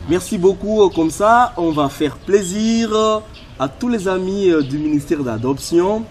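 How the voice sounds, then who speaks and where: A man hosting a gospel web show, interviewing a singer in French mixed with Lingala, with many pauses. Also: high-pitched, animated, darkish tone, slight background noise. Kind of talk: casual interview.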